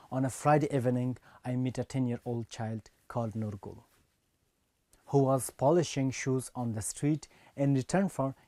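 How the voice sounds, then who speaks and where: A man's voice: medium pitch, warm tone, dry recording. Earnest young man from Afghanistan. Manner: earnest, measured delivery. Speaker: speech reader. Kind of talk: prepared speech.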